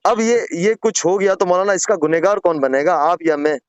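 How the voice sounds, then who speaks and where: Earnest, higher-pitched man on muffled phone call. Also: moderate pace, clean tone, dry recording. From Gujarat, India. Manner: deferential, earnest, repeatedly addressing the listener respectfully. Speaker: caller. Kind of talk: private phone conversation, pleading explanation.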